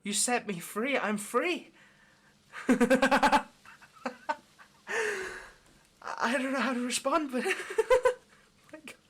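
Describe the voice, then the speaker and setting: A man's voice: extremely high pitch, expressive, slow, fairly bright tone, full character voice. A man playing a lonely, clingy yandere demon in an intimate audio roleplay, speaking tenderly and hesitantly straight to the listener with obsessive devotion. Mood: astonished, overjoyed.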